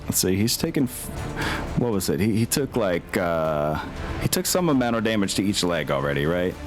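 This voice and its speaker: Deep-voiced man running a tabletop game. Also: very animated, moderate pace, music in the background. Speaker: game master of a tabletop mech campaign. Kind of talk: casual table talk with some narration.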